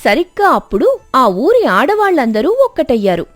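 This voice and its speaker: A woman narrating a scripted moral story dramatically, with expressive, emphatic delivery. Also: sits high, moderate pace, no room sound, soft background music.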